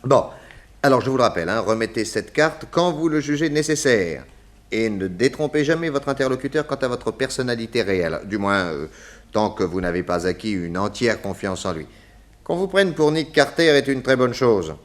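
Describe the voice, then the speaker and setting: An actor playing a brisk, courteous and wry detective boss in a 1960s French radio drama, switching between probing questions and orders. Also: mid-range voice, lively intonation, close to an everyday voice. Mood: brisk, commanding.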